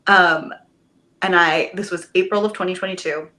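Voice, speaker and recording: A woman, a Broadway actress, chatting as a podcast guest, telling stories with lively enthusiasm and the odd casual swear word. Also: fairly low pitch, moderate pace. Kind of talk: casual podcast interview chat.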